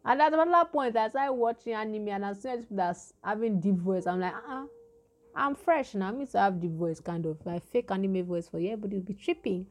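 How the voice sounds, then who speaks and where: A young woman with a Nigerian accent and a naturally deep voice, telling a story in casual chat and acting out the lines of the people in it. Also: a little fast. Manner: animated storytelling with quoted dialogue.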